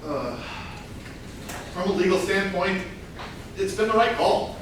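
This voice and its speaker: An actor playing a jaded, divorced party guest with a dry, hesitant delivery in a staged comedy reading. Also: male voice, high voice, animated, slow pace. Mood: wry. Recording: very echoey room, distant mic, noisy.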